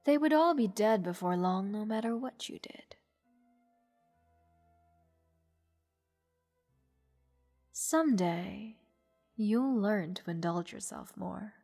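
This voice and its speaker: A woman playing a haughty, bored goddess in a roleplay audio drama, condescending and teasing in a languid, breathy delivery. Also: medium pitch, expressive, a little slow, a little mellow. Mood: smug, coaxing. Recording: long reverb, music underneath. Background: orchestral music.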